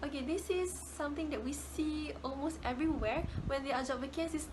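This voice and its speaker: A woman's voice: high voice, a little fast, noisy background. A young woman with a Malaysian English accent giving a casual class presentation, often repeating words as she searches for phrasing. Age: young adult. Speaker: student presenter.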